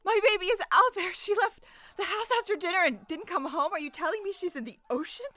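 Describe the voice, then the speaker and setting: A woman's voice: very high-pitched, brisk, pushed and bright. A woman playing a frantic, distressed mother in an audio drama, calling out panicked demands for answers at a meeting. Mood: distraught, disbelieving.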